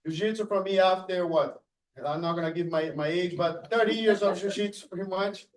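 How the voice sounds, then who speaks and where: Coach talking in an echoey gym. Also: male voice, high-pitched, a little fast, recorded from a distance. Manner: heartfelt, acts out quotes with a rising voice. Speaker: jiu-jitsu coach. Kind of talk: speech at a belt promotion. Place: martial arts gym.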